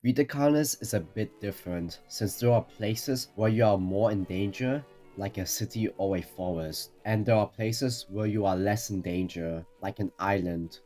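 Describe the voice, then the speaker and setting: A man with a fairly deep voice delivers scripted explainer narration. He lays things out in an orderly, matter-of-fact way. Soft background music runs beneath his words. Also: normal speed, hardly any pauses. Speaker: narrator of a ranking video.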